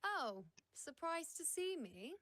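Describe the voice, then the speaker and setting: A confident video game huntress with a bright, forward voice. She is shrewd and playful, teasing with easy self-assurance, at a natural mid-range female pitch. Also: big pitch swings, slow pace, exaggerated voice. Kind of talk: scripted video game voice acting.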